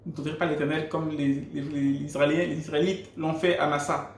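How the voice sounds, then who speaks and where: A man's voice: fairly high pitch, little pitch movement, a little fast, gravelly, slight background noise. Gabonese man telling a testimony, roomy sound. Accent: Gabonese French. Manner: earnest storytelling with frequent restarts. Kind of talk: personal faith testimony. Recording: roomy echo.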